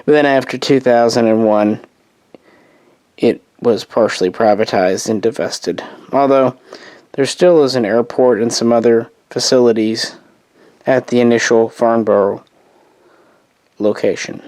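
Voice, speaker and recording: A man's voice: medium pitch, steady tone, unhurried, pauses often, a little mellow, dry recording. A man losing his voice, casually narrating a history explainer as host, rambling and correcting himself with offhand asides.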